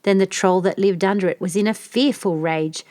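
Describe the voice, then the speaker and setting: A woman reading a scripted children's fairy tale as an expressive storyteller. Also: mid-range voice, normal speed, no echo.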